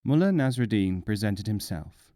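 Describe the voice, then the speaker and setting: A man reads folk tales in a low, mellow voice. His delivery is measured and expressive, with a very lively melody that suits storybook narration. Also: dry recording, studio quality. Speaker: audiobook narrator.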